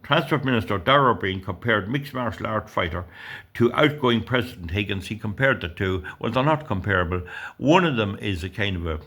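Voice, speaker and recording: A man's voice: lowish voice, gravelly, fairly bright tone. Ranting Irish man in his sixties. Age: 60s. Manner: indignant, rambling, stumbling over words. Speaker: political commentator. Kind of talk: reading out news and ranting.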